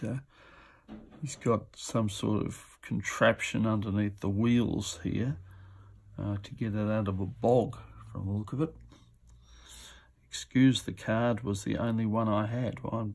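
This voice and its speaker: A man's voice: lowish voice, steady tone, takes it slowly, gravelly, a little mellow. A man giving casual, off-the-cuff commentary as he presents auction items, musing to himself as he goes. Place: indoors at a table.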